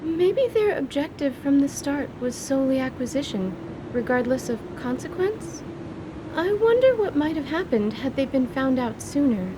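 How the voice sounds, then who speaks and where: Woman acting a prim, high-voiced earnest surgeon. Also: very animated, noisy background. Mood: thoughtful, prim. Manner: formal, measured, careful wording. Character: polite, earnest young female surgeon, formal and precise. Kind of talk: radio theatre character acting.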